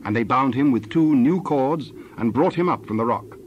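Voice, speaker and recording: A man's voice: medium pitch, moderate pace, highs cut off, a little hiss or hum. A man narrating scripture as the storyteller of a dramatized Bible reading.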